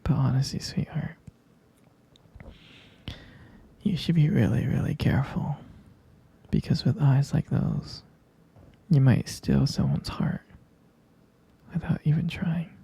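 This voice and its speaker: A man playing a tender, adoring boyfriend in an intimate romantic ASMR roleplay, whispering softly, gently and hesitantly. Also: on the high side, unhurried, exaggerated voice. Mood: adoring, teasing. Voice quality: soft, breathy whisper with gravelly creak.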